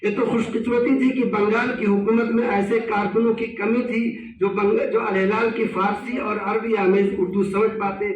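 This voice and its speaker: A man's voice: sits high, level tone, normal speed, hardly any pauses, recorded from a distance. A man reading a prepared seminar paper in formal, ornate Urdu diction. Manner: formal, heavy Persian-Arabic Urdu diction. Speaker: seminar speaker. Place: seminar hall. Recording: echoey hall recorded from afar.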